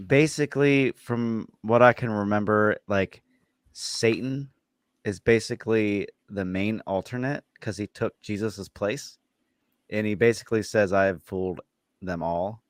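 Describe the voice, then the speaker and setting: A man co-hosting a podcast, chatting informally and admiringly about horror series in a halting delivery. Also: fairly low pitch, smooth, no echo. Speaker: podcast co-host.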